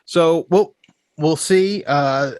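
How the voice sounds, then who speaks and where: A man with a slightly stuffy, congested voice chats casually as a podcast host. He sounds relaxed and thinks out loud as he goes. The sound is dry and close, with no room echo. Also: fairly high pitch, drawn-out words, smooth. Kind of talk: casual sports chat.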